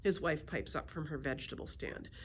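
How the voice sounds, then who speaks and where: A woman reading a children's story aloud in an expressive storytelling style. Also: sits low, a little fast, slight background noise. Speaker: library story reader.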